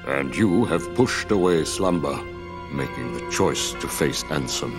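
An actor playing a mysterious cloaked older man in a video game, speaking in a solemn, cryptic way with a gravelly voice over game music. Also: lively intonation, a little slow, heavily acted. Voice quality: deep gravelly voice. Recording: game audio with loud music underneath.

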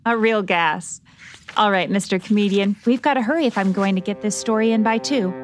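A woman's voice: medium pitch, brisk, soft background music, lightly acted. Woman acting a harried, sassy 1940s girl reporter. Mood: sassy, hurried. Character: harried, snappy 1940s female ace newspaper reporter. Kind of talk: 1940s radio drama acting.

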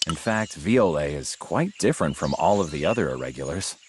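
An actor playing a brooding, cautious martial-arts mentor in a game cutscene, speaking pensively. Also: male voice, very deep voice, expressive, a little fast, background noise. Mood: pensive, conflicted. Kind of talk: dramatic game cutscene acting.

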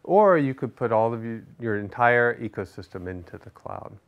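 A middle-aged man gives a scripted product explainer from a studio set. His delivery is steady and instructive, with rhetorical check-ins between points. The sound is clean and close, as from a lapel mic. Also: medium pitch, moderate pace, muffled recording. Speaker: product management executive presenting. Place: studio with large screen behind.

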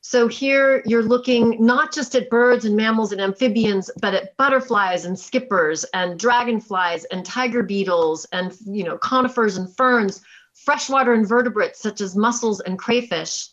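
A woman scientist giving a keynote presentation in a measured, explanatory way over a video call. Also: fairly high pitch, a bit bright, lo-fi sound. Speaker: keynote speaker and chief scientist. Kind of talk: conference presentation with slides.

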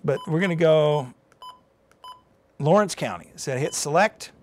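A man's voice: a little high, measured pace. A middle-aged man presenting weather on TV, giving an informal live how-to and thinking aloud as he goes. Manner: informal, thinking aloud while handling a device. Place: TV studio. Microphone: lapel mic.

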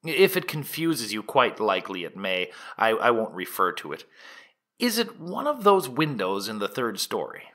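Bright-voiced, methodical male prosecutor played by an actor. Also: fairly low pitch, big pitch swings, full character voice. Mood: courteous, probing. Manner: formal, measured questioning. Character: prosecuting attorney, man, methodical, courteous, probing. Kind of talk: dramatic reading of an 1890s trial transcript.

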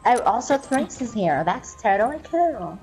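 A woman's voice: fairly high pitch, sing-song, moderate pace, a little mellow. A woman bantering casually in a game voice chat, with a sassy, mock-offended valley-girl way of talking. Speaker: player in online squad game. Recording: game voice chat, music behind.

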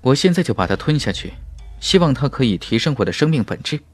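An ambitious young fantasy warrior, acted by a male audiobook reader, speaks with dramatic confidence. His pitch moves in lively swings, in a dry recording with faint music underneath. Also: fast, dry recording. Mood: regretful, resolute. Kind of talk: audiobook character speech and inner thoughts.

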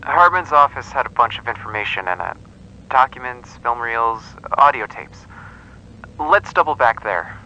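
A man plays an inquisitive archivist on a horror investigation. His voice is very sharp and lively in pitch, earnest and uneasy. The sound is slightly muffled. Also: moderate pace. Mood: earnest, focused. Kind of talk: analog horror audio drama. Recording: muffled, faintly noisy radio-like sound.

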